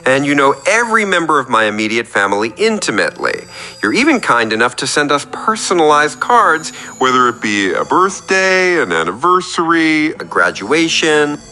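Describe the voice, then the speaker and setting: A man's voice: on the high side, big pitch swings, moderate pace, bright, forceful tone, faint music behind, poor audio. A man playing an eager, fawning tradesman who calls a radio doctor, earnest, rambling and oversharing in a comic radio play. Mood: eager, flattering.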